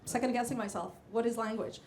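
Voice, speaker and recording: A woman's voice: on the high side, steady tone, quick pace, darkish tone, a little hiss or hum. A woman from Israel whose first language is Hebrew, a guest jazz vocalist introducing a song from a club stage in a hesitant, chatty way with many fillers. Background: band instruments faintly.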